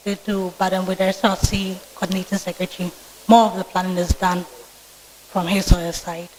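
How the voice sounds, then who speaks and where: Soft-spoken young Ghanaian woman. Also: lowish voice, flat delivery, moderate pace, a little hiss or hum, rough recording. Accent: Ghanaian English. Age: 20s. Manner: soft-spoken, polite and formal. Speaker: student candidate being vetted. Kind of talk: formal interview answers. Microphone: microphone with feedback.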